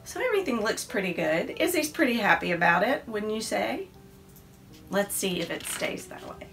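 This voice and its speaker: A woman's voice: mid-range voice, big pitch swings, normal speed, vocal fry, a bit bright, soft background music. A woman in her forties reading her picture book aloud indoors to a camera, with coaxing asides to children. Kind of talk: children's story read-aloud. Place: decorated classroom-like room indoors.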